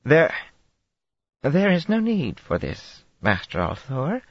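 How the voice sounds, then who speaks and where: A dignified sorceress, her voice lifted and set apart from the narrator's own timbre, every word formal and carefully measured, as a man performs her. Dry, slightly dull audiobook sound. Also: a little high, wildly swooping pitch, dry recording, voice pushed up, exaggerated voice. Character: composed, dignified sorceress, middle-aged woman.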